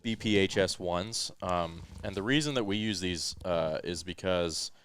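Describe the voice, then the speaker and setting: A man with a smooth voice hosting a podcast, giving relaxed, rambling tech explanations full of ums and uhs into a headset microphone. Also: deep voice, measured pace. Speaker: podcast host. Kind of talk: casual explanatory tech chat. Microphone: headset microphone with headphones.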